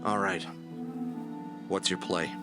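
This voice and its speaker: A man's voice: deep voice, takes it slowly, over loud music. A man acting in an audio drama as a plucky, sarcastic adventurer who is wary, casual and easily flustered. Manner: wisecracking, excitable, casual.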